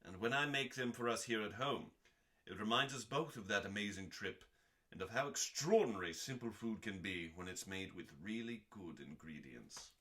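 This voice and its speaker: Low bright-voiced guy doing a dramatic audiobook style read, deadpan, lots of pauses. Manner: theatrical, deliberate, with pauses. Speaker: host. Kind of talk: dramatic scripted reading of a cookbook with dry asides.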